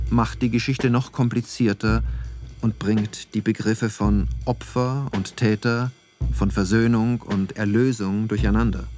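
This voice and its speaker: A man performing as the sober narrator of a documentary radio play, reading in a measured, factual way over music. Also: lowish voice, close to an everyday voice. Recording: studio voice over music bed. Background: clear music.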